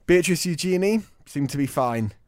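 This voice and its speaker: A man with a fairly high voice answers questions as a radio sidekick. He is deadpan and understated, in casual banter. The sound is very dry. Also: normal speed, no room sound.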